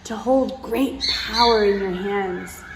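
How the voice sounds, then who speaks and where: A woman's voice: mid-range voice, takes it slowly, heavy background noise. A woman reading a picture book aloud to children, with playful asides and dramatic emphasis, speaking straight to her young listeners.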